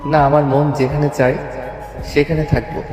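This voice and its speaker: Casting: stubborn young husband, played by a man, monotone, medium pitch, terse, in an echoey space over music. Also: moderate pace, lightly acted. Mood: guarded. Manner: flat, firm, terse. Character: curt, stubborn young husband, cool and protective. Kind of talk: audio story drama. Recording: echoey reverberant hall, music underneath.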